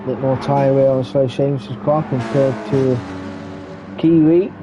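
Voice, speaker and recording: A man giving relaxed running commentary on a sim race, stuffy and muffled from a cold. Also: medium pitch, a little slow, music in the background. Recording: muffled lo-fi recording with background noise.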